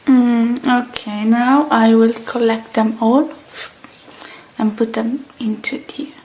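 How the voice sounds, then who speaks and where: A woman with a fairly high, soft, breathy voice murmurs very slowly in an ASMR roleplay. She slips between quiet speech and whispering, gentle and unhurried. The close recording carries a faint noise. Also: steady tone, poor audio. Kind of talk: soft-spoken ASMR roleplay as a technician tending a robot.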